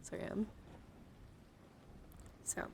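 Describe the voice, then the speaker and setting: A woman livestreaming ASMR in a soothing, gently encouraging way, soft-spoken and often slipping into whispers, close to the microphone. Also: drawn-out words. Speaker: livestreamer hosting an ASMR card-showing stream. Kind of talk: ASMR, casual livestream chat. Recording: clearly audible background noise.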